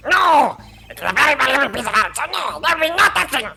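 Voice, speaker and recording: A man voicing a fussy, indignant male art dealer in a video game, with shrill, outraged outbursts and a gravelly voice. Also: very animated, quick pace, bright, forceful tone, soft background music, full character voice.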